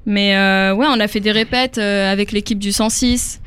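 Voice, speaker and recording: A woman's voice: medium pitch, fairly even intonation, moderate pace, fairly bright tone, clear, resonant tone. A young woman singer from Africa, answering interview questions casually with many fillers and easy laughs.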